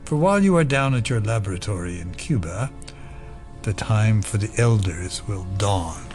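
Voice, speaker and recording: Low-voiced, sly conspirator over music. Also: male voice, animated, normal speed. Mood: quietly menacing. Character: sly, unhurried male conspirator plotting quietly. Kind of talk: audio drama acting. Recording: music under the voice.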